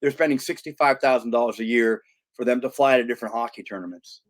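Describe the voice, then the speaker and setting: A man's voice: mid-range voice, fast. A man speaking as a guest in a casual interview, reflective and anecdotal, often restarting his sentences. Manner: reflective, storytelling, frequent restarts. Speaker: author and youth sports guest.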